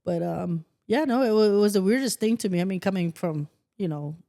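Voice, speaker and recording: Mellow-voiced middle-aged woman telling stories. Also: medium pitch, brisk. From the Philippines. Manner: animated storyteller who acts out quotes and swears casually. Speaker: guest, host's mother. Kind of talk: casual podcast conversation.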